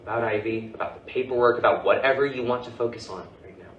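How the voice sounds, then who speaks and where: A man acting a weary, defensive husband and father, quick to anger, in a staged play reading with an exasperated delivery. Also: mid-range voice, a bit bright, lo-fi sound, natural voice. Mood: hurt, subdued. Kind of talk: staged play reading, naturalistic dramatic dialogue. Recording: distant, echoey room with faint noise.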